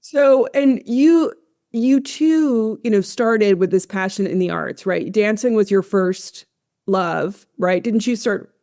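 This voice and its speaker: A woman's voice: medium pitch, slow pace. A woman in her forties hosting a podcast, chatty and joking with an old friend. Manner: chatty, warm, jokey. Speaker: podcast host. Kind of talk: casual interview talk.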